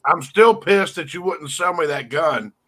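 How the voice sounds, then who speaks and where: Teasing man in casual chat, with a high and bright voice. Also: measured pace. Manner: teasing, laughs while talking.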